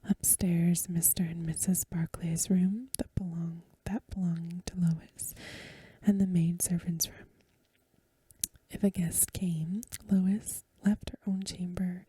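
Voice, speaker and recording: A woman reading a story aloud in a soft, hushed, breathy ASMR whisper with gentle, measured phrasing. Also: fairly low pitch, no echo. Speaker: ASMR reader.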